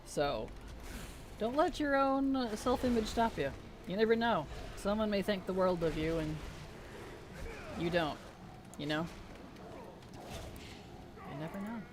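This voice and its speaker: A streamer talking over gameplay at a middling pitch, voice frayed and weak after a sleepless night. Soft-spoken and easygoing, reading chat aloud and muttering to self between lines, with a faint hiss behind. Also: lively intonation, a little slow, pauses often. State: weak, strained voice. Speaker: game streamer. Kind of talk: casual live-stream chat while playing.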